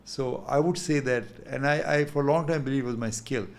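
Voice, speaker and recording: A man in his sixties with an Indian English accent, talking thoughtfully as a guest in an unhurried interview. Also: mid-range voice, vocal fry. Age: 60s. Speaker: guest investor. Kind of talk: candid interview talk.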